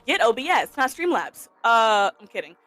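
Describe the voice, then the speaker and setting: A woman's voice: a little high, very animated, normal speed, over loud music. A woman in her twenties, a podcast guest, chatting casually and playfully with jokes and teasing. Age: 20s.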